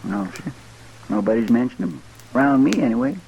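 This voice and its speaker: A man's voice: medium pitch, lively intonation, fairly quick, vocal fry, warm tone, slight background noise, rough recording. An actor playing a simple, earnest, deferential frontier townsman in an old-time radio western, with a folksy, respectful drawl.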